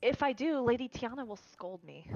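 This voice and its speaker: A woman's voice: fairly high pitch, animated, normal speed, a little mellow, dry recording, dull audio, faint music behind. A woman voicing a modest servant in a game, deferential and a little hesitant. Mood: meek, apologetic. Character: polite, modest servant standing in for another.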